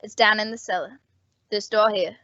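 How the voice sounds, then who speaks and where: A woman's voice: medium pitch, fairly even intonation, moderate pace, sharp tone, no echo, natural voice. A woman playing a sly, brash, grasping rooming-house landlady with slangy talk in an old-time radio drama. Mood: cagey. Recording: dull, dry lo-fi audio.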